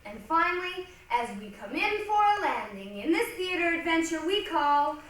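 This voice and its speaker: A woman's voice: very high voice, sing-song, normal speed, a crowd in the background. A woman performing in a reader's theatre, reciting a script in a theatrical, declamatory way. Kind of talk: dramatic ensemble stage reading. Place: large echoey room, recorded from a distance. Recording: echoey hall, distant mic, faint noise.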